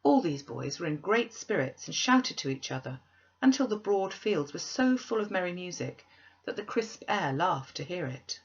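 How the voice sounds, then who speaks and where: A woman narrating a classic tale as a storyteller, with expressive, deliberate, dramatic phrasing. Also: fairly low pitch, breathy edge, lo-fi sound.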